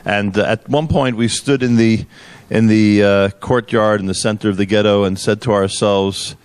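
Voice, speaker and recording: A male host interviews his guests in an easygoing, chatty manner. His voice sits on the low side. The recording is dry and close, with no room sound. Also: fairly even intonation, moderate pace, clear, resonant tone, no echo. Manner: conversational, easygoing questioning.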